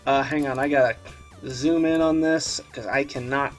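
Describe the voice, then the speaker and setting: A man's voice: mid-range voice, steady tone, moderate pace, faint music behind. A man hosting a casual reaction commentary, giggly and loosely profane in the way he talks. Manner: giggly, often laughing as he talks. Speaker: host of a meme review channel.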